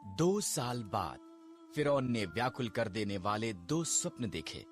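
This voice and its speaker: A man narrating a scripted children's Bible story in a deliberate, dramatic storytelling manner. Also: lowish voice, very animated, faint music behind.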